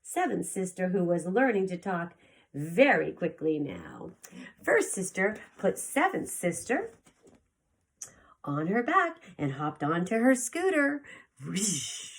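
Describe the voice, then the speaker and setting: Casting: female preschool teacher, theatrical picture-book reader, slow and highly animated. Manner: theatrical, with gasps and asides to young listeners. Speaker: preschool teacher reading aloud. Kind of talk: expressive children's picture-book read-aloud.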